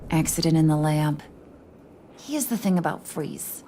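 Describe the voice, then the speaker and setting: A woman's voice: sits low, unhurried, mellow, slight background noise. A woman playing a brash, kooky, flirtatious crime boss in a video game, with a slangy, teasing New delivery. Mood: wry, teasing. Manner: slangy, playful, teasing, wise-cracking.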